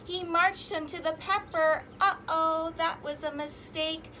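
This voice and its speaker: A woman hosting a children's storytime, reading rhymes and picture books aloud in an animated, sing-song, exaggerated way. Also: very high voice, measured pace, slight background noise. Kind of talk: interactive children's read-aloud with rhymes and fingerplay.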